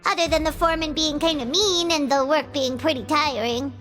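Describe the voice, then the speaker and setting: A woman voicing a small, childlike, excitable fairy girl with a squeaky voice in a video game. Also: a little fast, faint music behind. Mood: disappointed, pensive. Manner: excitable, exclaiming, refers to self in third person. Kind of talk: video game cutscene voice acting.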